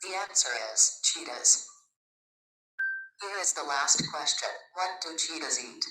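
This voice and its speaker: A synthetic woman's voice from a device reading short quiz prompts flatly. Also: deep voice, a little slow, echoey room, distant mic, noisy background. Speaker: device assistant voice.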